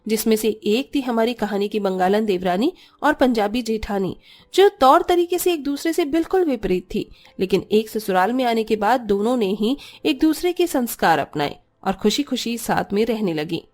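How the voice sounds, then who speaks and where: A woman's voice: a little high, lively intonation, normal speed, a little mellow. A woman storyteller delivering scripted narration for a cartoon story.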